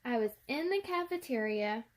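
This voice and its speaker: A woman reading a children's picture book aloud in an expressive storytelling manner. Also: fairly high pitch, normal speed. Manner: expressive, acts out sound words and dialogue.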